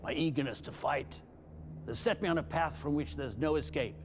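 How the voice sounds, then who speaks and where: An actor playing a bitter, haunted rebel with a raspy, deadened voice in an intense screen drama monologue. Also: male voice, on the high side, fairly quick, background noise, natural voice. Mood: bitter, anguished. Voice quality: raspy, dead-toned. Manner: intense, theatrical confession. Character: bitter, haunted older rebel spymaster baring his sacrifices. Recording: film clip with loud score. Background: loud dramatic music.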